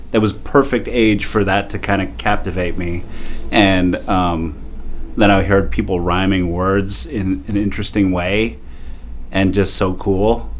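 A man in his forties from Japan, a DJ guest telling stories in an animated, laughing way with lots of fillers. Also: fairly low pitch. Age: 40s. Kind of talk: casual interview storytelling.

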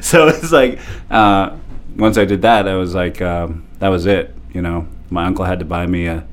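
Deep-voiced man telling stories. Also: moderate pace. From Japan. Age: 40s. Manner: animated, laughing, many fillers. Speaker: DJ guest. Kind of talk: casual interview storytelling.

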